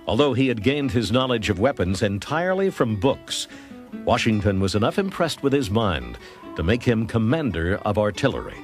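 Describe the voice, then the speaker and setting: A man's voice: fairly low pitch, big pitch swings, normal speed, soft background music. An American man narrating a scripted history documentary.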